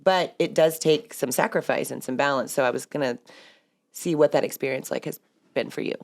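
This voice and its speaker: A woman's voice: a bass voice, brisk, gravelly, a little mellow. A woman in her thirties hosting a casual podcast chat, chatty and laughing often as she talks real estate.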